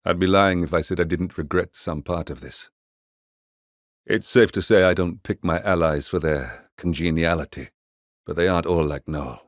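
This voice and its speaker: Male actor as a brooding game mercenary handler, very low voice, measured, dry wit, close dry studio sound. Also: dry recording, close to an everyday voice. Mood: grave, reflective. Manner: measured, understated, dryly wry. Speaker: mission handler. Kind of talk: scripted video game voice acting, mission briefing.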